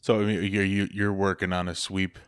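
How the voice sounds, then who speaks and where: A man's voice: low-pitched, flat delivery, a little fast, clean tone, no echo, clean studio sound. A man hosting a podcast who leads a casual chat with joking, teasing banter. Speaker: podcast host.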